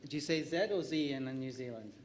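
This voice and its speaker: A man's voice: mid-range voice, brisk, noisy background. A middle-aged man from South Africa with a South African accent, moderating a conference panel, reading introductions with small slips and light jokes.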